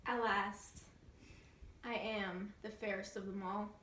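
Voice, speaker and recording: A woman's voice: mid-range voice, fairly even intonation, slow, pushed and bright. A woman playing a vain, scheming evil queen, sweetly menacing and coldly dismissive, in an amateur fairy tale comedy skit. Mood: triumphant. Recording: echoey room, distant mic, faint noise.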